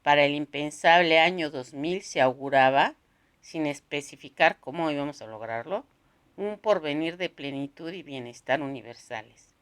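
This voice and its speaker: A woman narrating a literary novel aloud, expressively, in measured phrases with pauses. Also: low-pitched.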